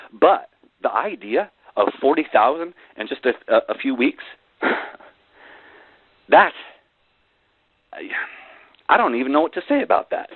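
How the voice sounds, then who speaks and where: A man giving an emphatic motivational sales pitch, repeating phrases for effect and tossing in folksy rhetorical questions. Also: no room sound. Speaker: network marketing presenter on a company conference call. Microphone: telephone. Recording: tinny, phone-like conference call line.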